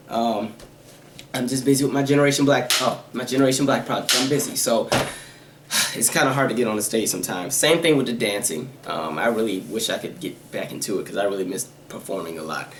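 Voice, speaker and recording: A man with a high, nasal voice, rambling quickly through viewer questions in a casual vlog. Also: echoey room, background noise. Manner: talks fast and rambles, with many fillers and asides. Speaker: YouTuber answering viewer questions.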